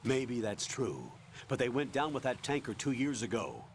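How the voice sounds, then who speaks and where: A measured, authoritative commanding officer in a game cutscene. His delivery stays even, with a faint hiss behind it. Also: medium pitch. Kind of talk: video game cutscene acting.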